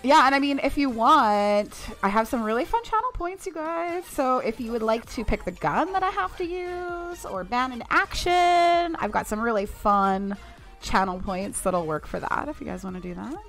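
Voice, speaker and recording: A woman's voice: a little high, expressive, normal speed, fairly bright tone, soft background music. A young woman streaming a mobile shooter, chatting casually with viewers and laughing often. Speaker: game streamer. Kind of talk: casual live-stream chat while playing.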